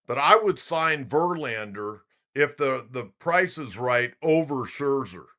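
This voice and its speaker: A man with a bright, forceful voice delivers sports opinions like a seasoned talk host. His pitch sits in the middle of the male range. He speaks conversationally but emphatically, restating key points to drive them home. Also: expressive, slow pace. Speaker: sports talk show host and basketball play-by-play announcer.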